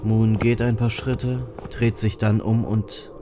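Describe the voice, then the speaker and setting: A man's voice: lowish voice, level tone, takes it slowly, background noise. A man playing a grim, wry reaper ferryman who narrates a fantasy horror audio drama. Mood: tense, grave.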